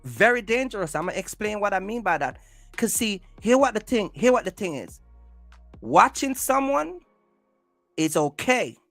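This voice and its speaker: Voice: mid-range voice, big pitch swings, moderate pace, a bit bright, no echo, soft background music. A livestream host talks casually in an emphatic, rambling way, often repeating phrases and restarting. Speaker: livestream host, content creator.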